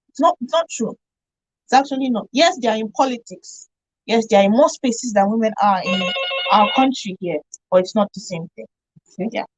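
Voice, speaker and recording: A woman's voice: on the high side, dry recording, rough recording. A woman arguing her view emphatically in a radio discussion.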